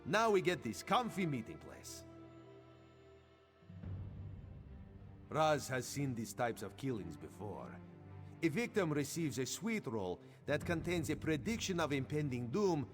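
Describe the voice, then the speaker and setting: A man's voice: mid-range voice, natural voice. An actor voicing a vain, playful cat-man agent in a video game, speaking theatrically and with self-assurance. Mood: wry storytelling. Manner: theatrical, self-assured, refers to himself by name. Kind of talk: video game character dialogue. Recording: music behind the voice.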